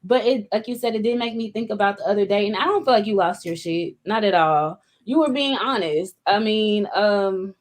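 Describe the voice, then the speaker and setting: A woman's voice: mid-range voice, normal speed, hardly any pauses. A woman co-hosting a casual live-stream chat, candid and chatty with many asides.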